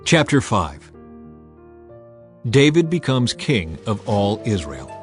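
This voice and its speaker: A man narrating scripture as a dramatized audiobook, in a solemn, emphatic, clearly enunciated storytelling manner. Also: fairly low pitch, big pitch swings, slow pace. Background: background music.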